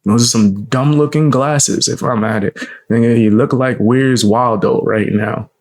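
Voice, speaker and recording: Young male streamer, gravelly low voice, blunt and mocking, casual live reaction. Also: a little slow, a little mellow. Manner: blunt, mocking, swears freely.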